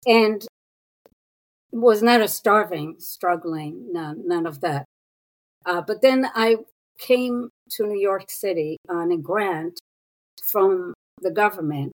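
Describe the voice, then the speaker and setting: A woman in her sixties from Israel, a psychotherapist speaking as an interview guest in an unhurried, thoughtful way with pauses and fillers. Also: mid-range voice, poor audio. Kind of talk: reflective interview talk.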